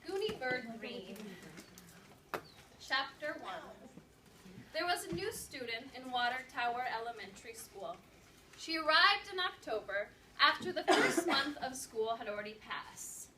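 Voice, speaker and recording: A woman speaking as a teacher, reading aloud and instructing a class with an animated, questioning manner. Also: sits high, unhurried. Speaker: teacher demonstrating an interactive read-aloud. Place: indoor classroom. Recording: roomy classroom, distant mic, background noise.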